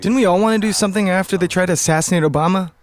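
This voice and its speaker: A man's voice: sits high, very fast, close to an everyday voice. A man acting in a radio drama as a tense, protective husband in a crisis. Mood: grim.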